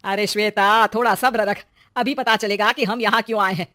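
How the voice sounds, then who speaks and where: A woman's voice: mid-range voice, fairly even intonation, moderate pace, pushed and bright, dry recording, lightly acted. Older mother-in-law, shrewd and in charge, female storyteller performing; emphatic, caring, dry sound, family story. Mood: shrewd, firm. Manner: emphatic, commanding. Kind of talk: acted story dialogue.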